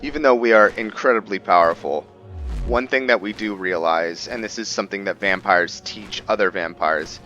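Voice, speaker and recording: A man's voice: on the low side, moderate pace, pushed and bright, highs cut off, soft background music. A man giving casual roleplay game commentary, rambling and thinking aloud to viewers as he plays. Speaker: gaming video host.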